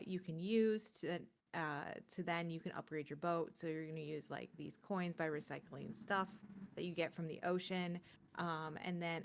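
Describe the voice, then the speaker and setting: A woman co-hosting a gaming podcast, chatting casually and informally as she presents game picks in a rambling way. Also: lowish voice.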